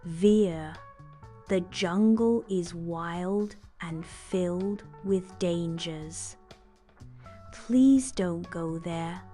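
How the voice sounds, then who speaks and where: Anxious storybook queen, played by a woman: loving, pleading, very slow delivery, mellow low-ish tone. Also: soft background music. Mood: worried, pleading. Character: worried, loving queen, mother of a prince. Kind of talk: scripted children's bedtime story acting.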